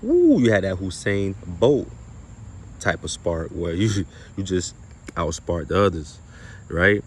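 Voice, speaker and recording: A man's voice: low voice, a little hiss or hum. A man giving an informal, slangy spiritual monologue in an emphatic, repetitive manner, often posing rhetorical questions. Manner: casual and slangy with some swearing. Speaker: spiritual content creator and speaker.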